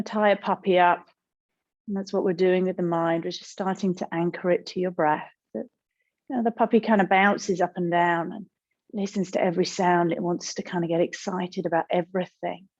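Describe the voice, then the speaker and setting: A woman meditation teacher speaking in a gentle, calm, unhurried way with pauses as she guides a practice. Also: on the low side, lo-fi sound.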